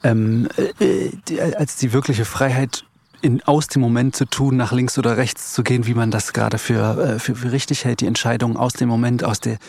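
A man reflecting as an interview guest, haltingly searching for his words as he explains his thoughts. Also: mid-range voice, steady tone, creaky, darkish tone, no echo. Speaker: interview guest, composer and pianist.

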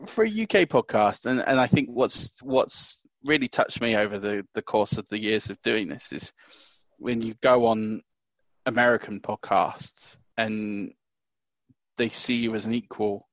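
A man with a lowish voice talks loudly and eagerly, tripping over his words and restarting sentences as he co-hosts a podcast. The sound is dry and slightly dull, recorded at home in a small house. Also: a little slow, no room sound. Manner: excitable, stumbling restarts, loud. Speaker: podcast co-host. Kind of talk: casual podcast chat.

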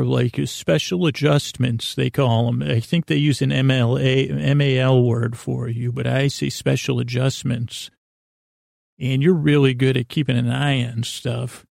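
Male sleep-podcast host, heavy vocal fry, dry close sound; halting, whimsical, self-deprecating rambler. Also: medium pitch, darkish tone, no room sound. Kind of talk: rambling bedtime monologue.

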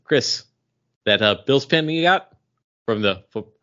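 A man co-hosting a podcast, chatting casually in an animated, rambling way. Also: mid-range voice, unhurried. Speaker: podcast co-host. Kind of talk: casual pop culture and beer chat. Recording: dull, lo-fi podcast audio.

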